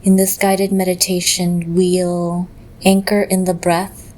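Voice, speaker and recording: A woman leading a guided meditation in a gentle, measured way, leaving long pauses between phrases. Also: lowish voice, completely flat delivery.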